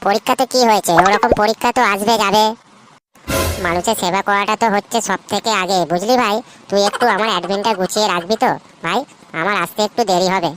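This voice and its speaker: A woman's voice: medium pitch, steady tone, quick pace, music in the background, lightly acted. A woman voicing a cocky, foul-mouthed teenage schoolboy in a comedy cartoon, brash and full of slang. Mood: persuasive. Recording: loud background noise.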